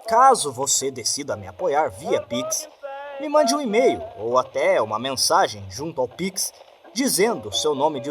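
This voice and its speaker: A man, a podcast host making a friendly, direct appeal to his listeners for support. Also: medium pitch. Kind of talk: channel outro asking for support. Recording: music clearly under the voice.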